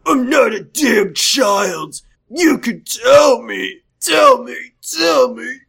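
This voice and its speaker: A man's voice: extremely high pitch, animated, creaky, a bit bright, slightly rough, voice pushed up, heavily acted. A man playing a stubborn injured husband in an amateur story reading, indignant and straining as he draws out his demands. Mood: indignant, demanding. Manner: indignant, straining, drawn-out words. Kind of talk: amateur fan fiction read-aloud.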